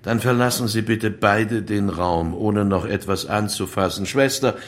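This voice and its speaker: An actor playing a matter-of-fact chief inspector who takes charge in an official, commanding way in a German radio crime drama. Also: male voice, fairly low pitch, a little slow, natural voice. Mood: firm.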